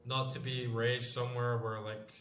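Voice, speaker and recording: A man's voice: medium pitch, flat delivery, normal speed. A man in his late thirties chatting casually while playing a game, rambling loosely and swearing now and then. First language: English. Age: late 30s. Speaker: gamer playing on stream. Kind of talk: casual chat while gaming. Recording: roomy sound with music behind.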